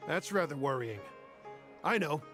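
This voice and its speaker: A friendly townsman in a video game. He has a slightly high voice and a thoughtful, concerned, obliging way of talking. Faint music sits underneath. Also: animated, measured pace, a bit bright.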